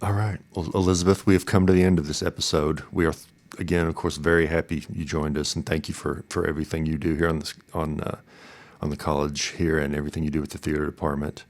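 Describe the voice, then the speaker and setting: A man hosting a podcast in a casual, easygoing, somewhat halting way. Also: very deep voice, little pitch movement. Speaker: podcast host. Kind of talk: casual interview and sign-off.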